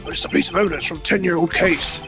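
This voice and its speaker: A man's voice: fairly high pitch, rapid-fire, gravelly, over music, pitched above the performer's own voice. A man voicing an eager, simple, deferential police detective in a video game, plain-spoken in manner. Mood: eager, puzzled.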